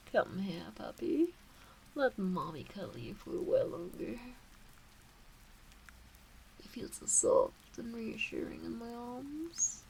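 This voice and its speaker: Slow, crackly, teasing fox witch played by a woman. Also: fairly high pitch, vocal fry, full character voice. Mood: delighted, cooing. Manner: cooing, babying, drawn-out words, often dropping to whispers. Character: teasing, doting kitsune witch, motherly owner of puppy. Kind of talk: ASMR roleplay, intimate and soothing.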